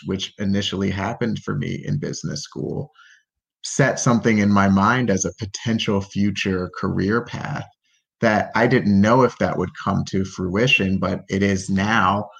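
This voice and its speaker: A man who is a business school graduate, a podcast guest, talking in a measured, articulate way full of business terms. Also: on the low side, vocal fry, breathy edge, highs cut off. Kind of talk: casual podcast interview.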